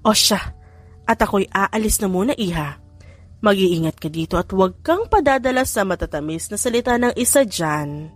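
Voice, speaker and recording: A woman's voice: mid-range voice, lively intonation, normal speed, dry recording, lightly acted. Woman voicing an authoritative older matriarch, music behind. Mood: fond. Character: older wealthy matriarch, hospital owner, firm but fond mother. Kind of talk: audiobook character dialogue.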